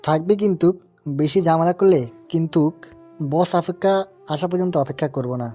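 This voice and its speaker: A man's voice: fairly high pitch, moderate pace, warm tone, soft background music, natural voice. A man playing a gang henchman in an audio story. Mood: menacing. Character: male gang henchman, threatening, cowardly when cornered. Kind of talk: Bengali audio story acting.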